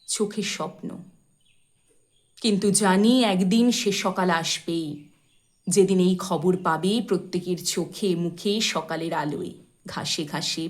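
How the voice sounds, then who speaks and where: Slow, measured woman reciting poetry. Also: medium pitch. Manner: measured, expressive, with deliberate pauses and softly falling phrase ends.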